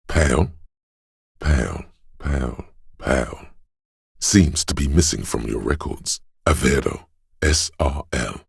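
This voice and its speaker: A man voicing an erudite, composed know-it-all inner voice in a video game, delivering measured, precise, matter-of-fact exposition. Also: dry recording, clean studio sound, full character voice. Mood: pondering. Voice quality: very deep, gravelly, husky. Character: encyclopedic inner voice, a learned, composed male know-it-all narrator. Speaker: game voice actor. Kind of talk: scripted game narration, expository.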